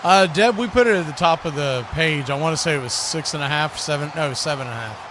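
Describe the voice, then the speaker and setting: A man doing live football play-by-play and chat as the host of a broadcast. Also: quick pace. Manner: excitable, shouts on big plays, drops low in asides. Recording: crowd and game noise behind the voice.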